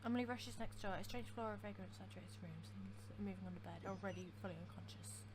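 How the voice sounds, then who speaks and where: A woman records casual let's-play commentary, reading and reacting as she goes. The voice sits at a middle pitch, with clear noise behind it. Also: fast. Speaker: gaming video host. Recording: noticeable background noise.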